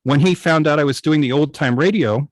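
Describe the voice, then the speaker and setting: An older man with an American accent telling stories informally on a video call, halting and often pausing mid-thought. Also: a little high, dry recording, studio quality. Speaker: guest, old-time radio station operator. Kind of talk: informal storytelling in an online club meeting.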